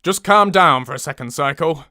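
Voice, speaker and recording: A performer voicing a gruff, blunt male centaur with a Northern English accent for a story. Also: mid-range voice, expressive, extremely slow, dry recording, studio quality, heavily acted. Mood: gruff, exasperated. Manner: gruff, forceful.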